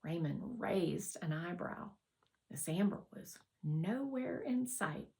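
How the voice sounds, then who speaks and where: A woman narrating a children's novel aloud as a scripted, expressive read-aloud. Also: fairly low pitch, slow, gravelly. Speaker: audiobook reader.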